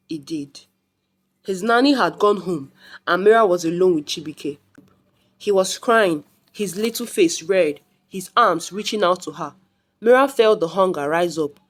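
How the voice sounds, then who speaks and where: A woman reading a scripted folktale as a storyteller. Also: on the low side.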